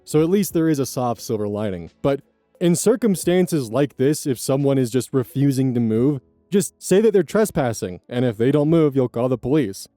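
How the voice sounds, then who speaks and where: A man reading online stories aloud as host, adding blunt, profane commentary in a casual, animated way. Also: mid-range voice, normal speed, no echo, faint music behind.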